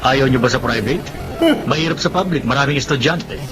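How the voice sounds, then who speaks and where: A mid-pitched man an actor plays a confident, judgmental older brother in a Filipino radio drama. He is assertive and pushy, with loud music behind him. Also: a little fast, lightly acted. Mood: questioning.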